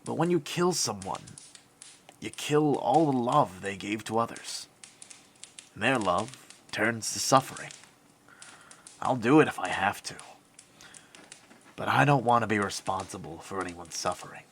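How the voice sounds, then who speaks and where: An idealistic young male soldier hero speaks with solemn conviction in a bright, forceful voice. The pitch moves expressively, with faint ambience behind. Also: animated, unhurried, vocal fry, long pauses, slight background noise, full character voice. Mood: solemn, resolute. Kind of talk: cinematic audio drama acting.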